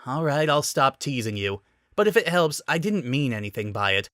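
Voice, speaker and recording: A man's voice: medium pitch, very animated, normal speed, fairly bright tone, no room sound, clean studio sound. A man voicing an awkward, boyish young prince with a self-conscious manner in a romantic comedy story reading. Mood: earnest, awkward. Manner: flustered, sheepish, self-conscious. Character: awkward young prince, flustered, touchy-feely and boyishly petulant. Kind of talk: dramatic reading of romantic comedy fan fiction.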